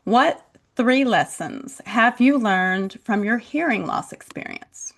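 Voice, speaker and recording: Slow-spoken middle-aged woman host. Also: a little high, vocal fry. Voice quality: speaks with a deaf accent. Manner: slow, deliberate, polite, with frequent laughs. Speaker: podcast host. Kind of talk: casual interview.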